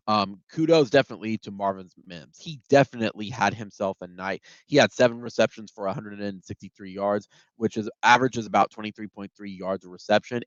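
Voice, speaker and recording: A man with a low voice and a stuffy, congested sound from a head cold talks through a football game recap in a relaxed, rambling way, full of ums and false starts. The recording is dull and dry, with little high end and no room sound. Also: no echo. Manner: conversational, many ums and restarts. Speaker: sports podcast host. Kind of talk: casual solo game recap.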